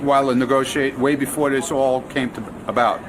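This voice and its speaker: A man's voice: mid-range voice, level tone, a little fast. Blunt, grumpy man in a noisy room. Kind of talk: casual bantering interview. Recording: noisy room with background chatter.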